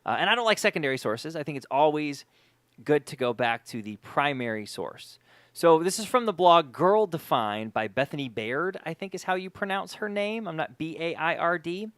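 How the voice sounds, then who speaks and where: A man's voice: fairly high pitch, lively intonation, moderate pace, fairly bright tone, no room sound, studio quality. A man hosting a podcast in casual chat, speaking emphatically and often repeating words for emphasis. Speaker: podcast host.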